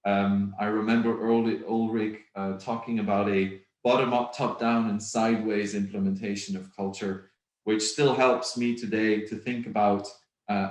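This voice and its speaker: A middle-aged man from Belgium, a native Dutch speaker with a Flemish accent, presenting at a virtual conference in a measured, reflective way with many ums. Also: on the low side. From Belgium (Flanders). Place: home office, indoors. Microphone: video call. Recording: roomy video-call audio.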